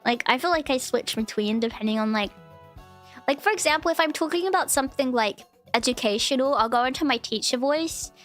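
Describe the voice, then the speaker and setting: A woman chatting casually on her live stream, with a cute, high voice, rambling and giggling through restarts and fillers. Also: fairly quick. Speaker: VTuber streamer. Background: faint background music.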